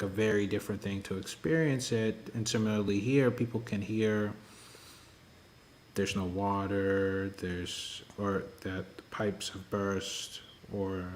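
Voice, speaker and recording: A man's voice: on the low side, a little hiss or hum. A man in casual panel talk, thoughtful and conversational, often hedging with "you know". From Kenyan family background. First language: Swahili.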